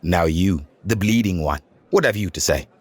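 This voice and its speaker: A man's voice: sits low, animated, moderate pace, dry recording. A man playing a pompous medieval court official, formal and declamatory in mock-Shakespearean speech. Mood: officious, grand.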